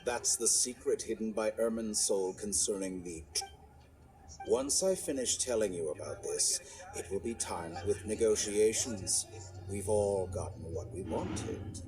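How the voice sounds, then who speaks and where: A man voicing an arrogant, calculating villain scholar in a video game, speaking in a measured, condescending, theatrical way. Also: fairly low pitch, lively intonation, poor audio. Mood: sly bargaining. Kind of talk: dramatic video game voice acting. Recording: music under the voice.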